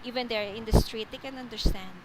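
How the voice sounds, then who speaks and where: A woman's voice: on the high side, a little fast, noisy background. A woman from the Philippines with a Filipino accent, teaching an online English lesson over a video call in a patient, explanatory way. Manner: patient, explanatory, often repeats and rephrases. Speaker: English tutor.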